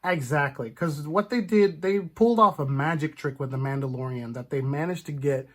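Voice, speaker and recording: A man hosting a casual, animated chat about film and TV, acting out imagined reactions as he goes. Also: fairly high pitch, moderate pace. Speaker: podcast host.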